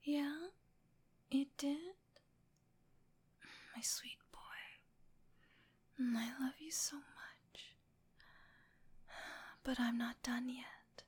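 A woman's voice: sits high, level tone, drawn-out words, long pauses, darkish tone, breathy edge. A woman whispering breathily in an intimate adult ASMR roleplay, with a soothing, coaxing, affectionate manner.

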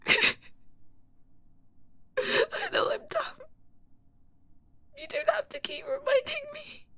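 A frightened, clingy young woman, voiced by a woman in an audio roleplay sobs out apologies in a very high, bright voice. Her pitch leaps and falls wildly, and her slow, tearful lines often sink to a breathy whisper or crack into nervous laughs. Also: very animated, heavily acted. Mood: tearful pleading.

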